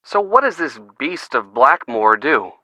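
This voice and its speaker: An actor playing a wisecracking, upbeat young friend in a video game, with an American accent and a playful, wry, chatty delivery. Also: male voice, medium pitch, big pitch swings, a little slow, pushed and bright, no room sound, heavily acted, low-quality recording. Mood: wry, amused. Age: young adult. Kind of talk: video game dialogue acting, conversational phone chat.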